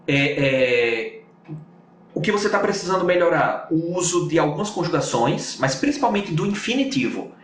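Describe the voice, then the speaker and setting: A man with a northeastern Brazilian accent and a slightly high voice teaches in a casual, explanatory way. He repeats points for emphasis, chatting over a video call from an echoey room. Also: very animated, moderate pace. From Natal, northeastern Brazil. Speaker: English teacher. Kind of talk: casual online lesson chat. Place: indoors on a Skype video call. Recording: roomy echo with faint noise.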